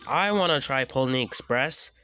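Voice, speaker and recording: A man's voice: medium pitch, expressive, moderate pace, pushed and bright, a little hiss or hum, voice pushed up. A man voicing a boastful, cheerful young male fire engine in a storybook. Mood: cheerful boasting. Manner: cheerful, overconfident.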